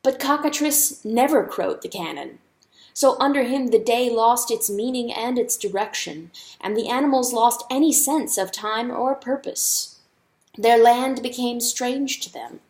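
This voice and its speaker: A woman's voice: fairly high pitch, unhurried, a little mellow. A woman narrating an audiobook story as a dramatic, scripted reading. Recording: echoey, roomy sound.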